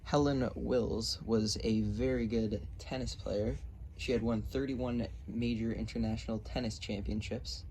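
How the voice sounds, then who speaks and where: A man presenting a scripted question-and-answer narration, reading aloud a little haltingly. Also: mid-range voice, fairly even intonation, a little mellow. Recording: noisy amateur recording.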